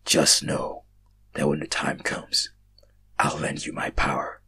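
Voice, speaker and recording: A man voicing a big shadowy dream entity in a storybook reading, ominous and cryptic, with a deep, breathy half-whisper and slow, portentous delivery. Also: completely flat delivery, sharp tone, full character voice.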